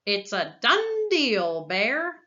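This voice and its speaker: A woman voicing a clever, persuasive male trickster hare from a storybook, pitching deals with eager patter. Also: huge pitch swings, very slow, sharp tone, dull audio, heavily acted. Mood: sly.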